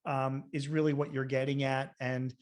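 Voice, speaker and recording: A man hosting a conversational interview, thinking aloud in a halting way as he phrases his questions. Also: medium pitch, flat delivery. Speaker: podcast host and interviewer.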